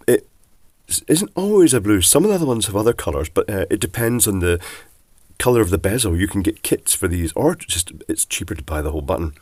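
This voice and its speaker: A man with a cold, sounding bunged up and croaky, casually explaining electronics as he takes a device apart. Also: lowish voice, sing-song, quick pace, a little mellow, no room sound. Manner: chatty, rambling asides. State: congested from a cold, husky. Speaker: electronics teardown presenter.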